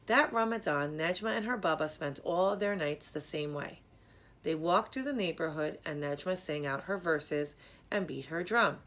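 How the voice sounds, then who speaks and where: A woman reading a children's story aloud in a measured, expressive storytelling manner. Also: deep voice. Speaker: storybook reader. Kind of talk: scripted narration, children's story read aloud.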